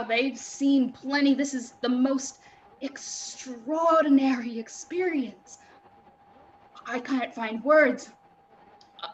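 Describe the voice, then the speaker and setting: A woman playing an excitable radio reporter, an awed and alarmed eyewitness, in a live reading of a 1930s radio drama, with dramatic, suspenseful pauses. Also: fairly high pitch, highs cut off, slight background noise, natural voice. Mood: shaken, urgent.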